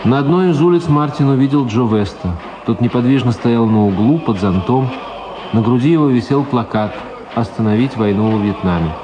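A man giving scripted narration for a radio drama in a plain, measured storytelling manner. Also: mid-range voice. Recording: clear buzzing background noise.

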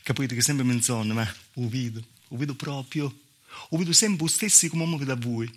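A man's voice: mid-range voice, normal speed, natural voice. A man performing a theatrical dialect monologue as a southern Italian village woman, with a Calabrian accent and an intimate, repetitive storytelling manner. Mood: confiding, wry. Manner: intimate storytelling with repetitions and spoken sound effects. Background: live music accompaniment.

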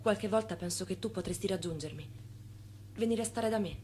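An actress playing an estranged former wife in a radio drama, restrained and melancholy, putting short, measured, probing questions. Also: female voice, medium pitch, crowd noise, natural voice. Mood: gentle, probing.

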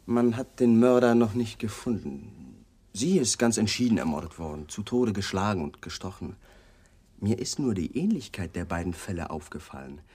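A man's voice: lowish voice, expressive, moderate pace, mellow, husky. A man acting in a 1980s German radio crime play as a persistent police detective, coolly polite and suspicious, putting pointed questions. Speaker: actor in a radio crime drama.

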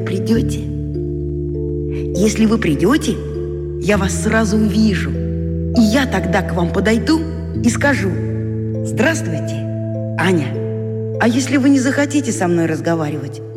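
An actor playing a shy, earnest schoolboy reading his letter in a children's radio drama, hesitant, polite and sincere. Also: sits high. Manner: hesitant, polite, sincere, pausing between phrases. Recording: long reverb, music underneath. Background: music.

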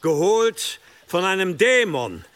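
An actor in a radio drama playing an earnest missionary monk making a solemn, persuasive, declamatory address. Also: male voice, high-pitched, big pitch swings, slow pace, a bit bright, a little hiss or hum, heavily acted. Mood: earnest appeal. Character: earnest missionary monk preaching to hostile villagers. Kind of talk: radio drama, public oratory. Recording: faint outdoor ambience with sheep.

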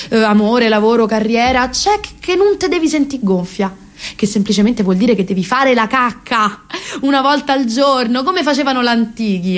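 A woman of about thirty with a Roman Italian accent performs a satirical monologue in an expressive, exasperated, ironic way. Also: on the high side. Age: around 30. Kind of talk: theatrical satirical monologue on radio.

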